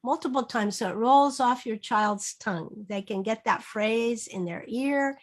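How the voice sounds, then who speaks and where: A woman teaching through an interactive children's read-aloud, modeling phrases slowly and asking prompting questions. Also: a little high. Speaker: reading teacher. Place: online video session.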